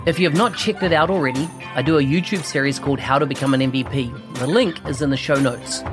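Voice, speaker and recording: A man's voice: mid-range voice, quick pace, over music. Upbeat male podcast host. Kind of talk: podcast interview.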